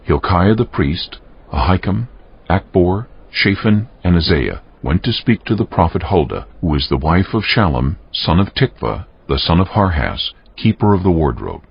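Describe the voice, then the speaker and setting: A man narrating scripture from a script in a solemn, measured, deliberate way. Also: low-pitched, sing-song, vocal fry, breathy edge, lo-fi sound.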